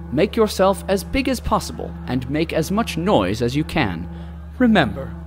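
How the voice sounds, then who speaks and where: A man playing the narrator of a dystopian audio drama, delivering emphatic, mock-earnest announcements in a scripted style. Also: medium pitch. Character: cheerful, ominous narrator of a dystopian city, announcer-like.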